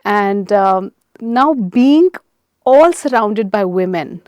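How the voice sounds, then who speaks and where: A woman hosts a podcast with effusive enthusiasm and generous praise. Her voice has a middling pitch, and the recording is dry and close. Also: expressive, slow pace, a little mellow, no echo. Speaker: podcast host. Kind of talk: podcast interview.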